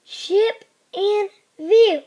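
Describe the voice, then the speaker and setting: Woman doing a high-pitched bold little girl. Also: very slow, dark tone, exaggerated voice. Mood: excited, calling out. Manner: confident, commanding, playful. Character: bold cheerful young girl, full of ideas. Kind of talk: children's storybook character voice.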